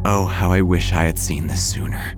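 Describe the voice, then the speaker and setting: A man acting a low-voiced, haunted obituary writer. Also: steady tone, moderate pace, over music, natural voice. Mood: haunted dread. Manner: solemn, literary, ominous. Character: haunted, melancholy male obituary writer narrating gothic horror. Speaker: narrator. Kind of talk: scripted horror audio drama narration.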